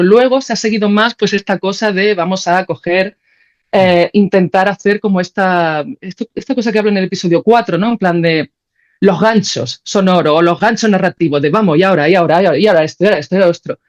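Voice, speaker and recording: A woman's voice: mid-range voice, a little mellow, dull audio. A woman with an accent from Spain, explaining ideas in a casual, colloquial conversation as a podcast guest, thinking aloud. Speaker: podcast guest, composer and sound creator.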